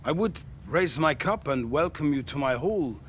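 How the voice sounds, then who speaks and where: A middle-aged Viking chieftain for a game cinematic. He is stately and wary, speaking formally in a slightly high voice over faint background ambience. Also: animated, a bit bright, a little hiss or hum. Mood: guarded, stern. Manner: formal, deliberate. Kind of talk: cinematic video game acting.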